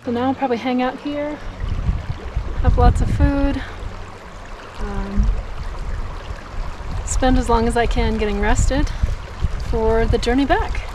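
A middle-aged woman chatting casually to her camera while hiking, relaxed and laughing often, recorded outdoors on a small action camera. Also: a little high, slow, long pauses. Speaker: vlogger. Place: outdoors by a mountain lake and on a trail. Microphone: action camera, handheld or at arm's length. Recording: outdoor camera audio with stream and wind noise.

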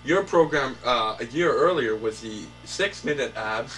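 A man's voice: medium pitch, lively intonation, measured pace, a bit bright. A young man hosting a mock late-night talk show, mock-formal and hesitant, full of ums and restarts. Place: small indoor set, couch and table. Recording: distant camera audio with hum.

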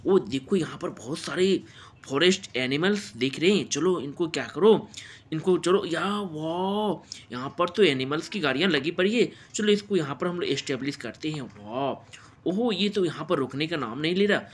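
Higher-pitched Indian man narrating toy play. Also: expressive, normal speed, breathy edge. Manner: exclamatory, repeats wows and interjections. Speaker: presenter of toy play videos.

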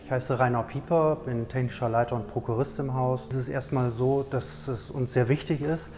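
A middle-aged man, a technical director, speaking in a measured, slightly hesitant way in a corporate video interview on a lapel mic. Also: medium pitch, fairly even intonation, a little mellow, big-room sound, soft background music. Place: indoor showroom with product shelves.

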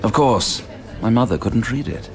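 An actor playing a bookish British man in a radio drama, speaking in a measured, precise and formal way. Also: medium pitch, music in the background, lightly acted. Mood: precise, reserved.

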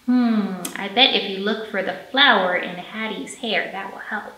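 A woman's voice: medium pitch, moderate pace, sharp tone. A woman in her thirties hosting a children's storytime as a librarian, speaking expressively and directly to young children. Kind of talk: children's storytime reading and presenting. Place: indoor library room, pre-recorded for online storytime. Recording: roomy echo.

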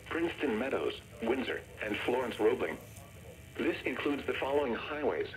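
A synthetic male voice reading a scripted severe weather warning bulletin as an automated announcer, formal and measured, played through a radio speaker. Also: mid-range voice, fairly bright tone. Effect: synthetic text-to-speech voice. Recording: noisy weather radio speaker recorded in room.

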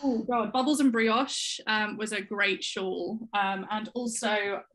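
Young woman on a laptop call, bright voice, soft and hesitant, mid pitch. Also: fairly even intonation, slow pace. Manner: soft-spoken, hesitant with fillers. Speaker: guest test knitter. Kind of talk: casual video call chat. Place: home room indoors. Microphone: laptop webcam mic.

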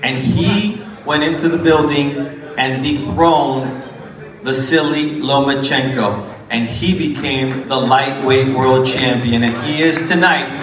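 A man's voice: mid-range voice, slow. A man introducing an award winner at a dinner, building up with rising emphasis. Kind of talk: award presentation speech. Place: large banquet hall at an awards dinner. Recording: echoey hall from far off with crowd.

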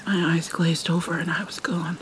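A woman playing a guilt-ridden, prickly but caring vampire mermaid in an ASMR roleplay, halting and formal, slipping into whispers. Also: lowish voice, steady tone, a bit bright, lightly acted. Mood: anguished, haunted. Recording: noisy ambience with water sounds.

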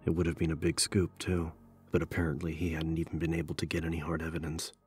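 A man narrating an audiobook in a measured, solemn, scripted dramatic style. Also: rumbling low, completely flat delivery, a little mellow, soft background music.

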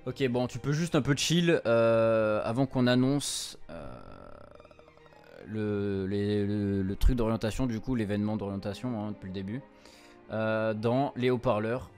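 A man's voice: on the low side, measured pace, darkish tone. A young man gives a casual let's-play commentary at a close mic. He reads and translates game text on the fly, informally and with some stumbling. Game music plays behind his voice. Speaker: streamer, let's-play host.

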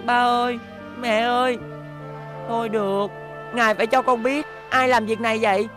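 Guy doing a cartoon robot kid, high worried voice, quiet music under it. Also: steady tone, normal speed. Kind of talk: Vietnamese TV cartoon dub. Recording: music behind voice.

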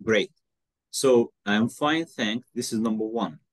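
A man teaching an English lesson over a video call, slow and patient, encouraging his student and repeating phrases. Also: fairly low pitch, dry recording. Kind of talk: online one-to-one English lesson.

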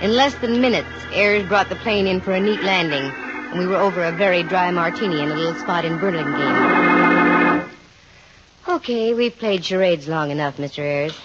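A woman's voice: lowish voice, normal speed, natural voice. An American actress playing a wry, self-assured female private investigator in a 1950s radio drama, with dry wisecracking delivery. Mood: brisk, probing. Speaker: lead actress and narrator. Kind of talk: 1950s radio detective drama acting, with first-person narration. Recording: muffled old radio recording, music behind.

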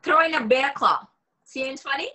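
A woman's voice: sits high, moderate pace, bright, forceful tone. A wary young woman on a first date played by an actress at a table read, speaking in natural, everyday dialogue. She sounds like she is on a video call from a room at home. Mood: awkward, playful. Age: young adult. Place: small room at home, indoors.

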